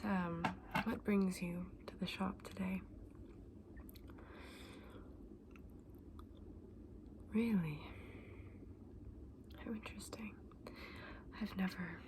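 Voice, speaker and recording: A woman playing a kindly, eccentric fantasy shopkeeper in an ASMR roleplay, whispering softly and breathily with gentle, polite, quaint phrasing. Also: medium pitch. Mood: welcoming, curious. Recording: clearly audible background noise.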